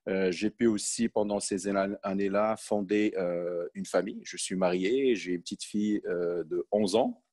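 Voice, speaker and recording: This is a man from Morocco in his forties, a guest on a podcast. His voice sits low. He talks easily but hesitantly, full of little fillers and restarted phrases. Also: normal speed, hardly any pauses, a little mellow. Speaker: podcast guest, sales manager. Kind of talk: conversational interview.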